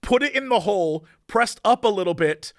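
Man, high voice, dry close sound, casual podcast chat, animated storyteller. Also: normal speed, no room sound. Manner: animated storytelling, acting out other people in a raised voice. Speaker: podcast co-host.

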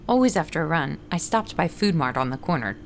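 A woman's voice: sits low, expressive, a little fast, noisy background. A woman playing a friendly, ordinary runner and ad writer, narrating her story in a scripted fiction podcast. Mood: narrating, calm. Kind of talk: first-person scripted audio drama narration with dialogue.

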